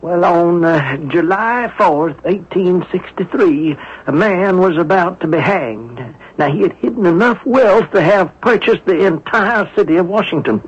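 A man playing a flustered older antique dealer in a radio drama, husky and halting, repeating his words. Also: high voice, lively intonation, exaggerated voice. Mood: confiding. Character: flustered, grateful antique dealer, wary and talkative. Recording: dull lo-fi 1970s radio broadcast.

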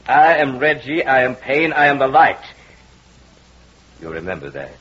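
A man's voice: mid-range voice, very animated, normal speed, fairly bright tone, husky. An actor playing a lovesick, murderous and controlling man in old-time radio melodrama, theatrical, brooding and insistent, on a dull vintage recording with music. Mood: commanding, hypnotic.

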